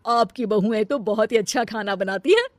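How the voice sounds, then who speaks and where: A woman's voice: mid-range voice, normal speed, dry recording, close to an everyday voice. A woman voicing a generous visiting aunt in a family comedy cartoon, effusive and approving. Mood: admiring. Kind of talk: acted Hindi family comedy story.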